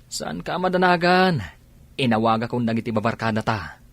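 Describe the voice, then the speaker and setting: A man's voice: medium pitch, animated, normal speed, a bit bright, no room sound. A man with a Filipino accent playing an easygoing village loafer and drinking buddy in a colloquial comedy radio drama.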